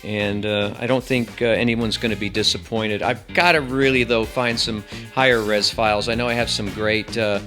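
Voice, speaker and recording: A man's voice: on the low side, steady tone, normal speed, few pauses, sharp tone, soft background music. A man giving a casual, rambling product review as a channel host, with wry asides.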